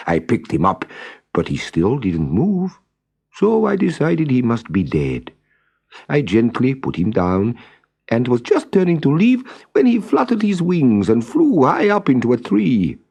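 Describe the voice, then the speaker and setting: A man's voice: medium pitch, wildly swooping pitch, unhurried, vocal fry, warm tone, slightly rough, pitched above the performer's own voice, exaggerated voice. A man voicing a rich merchant, fond and puzzled, in earnest storybook dialogue. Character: rich Persian merchant, fond and puzzled male bird owner.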